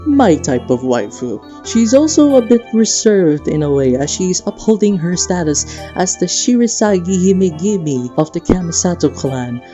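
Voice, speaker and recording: A woman's voice: lowish voice, mellow. A woman giving casual, playful and enthusiastic fan commentary on a video game. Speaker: video creator hosting a game discussion. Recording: music behind, slightly dull sound.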